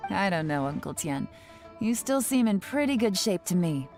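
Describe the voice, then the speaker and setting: A calm, businesslike official. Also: female voice, lowish voice, faint music behind. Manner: calm, measured. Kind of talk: game voice acting.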